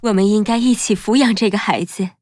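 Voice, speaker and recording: A woman's voice: mid-range voice, fairly quick, music in the background, natural voice. An actress playing a protective, loving mother wolf, tender but resolute, in a children's cartoon story.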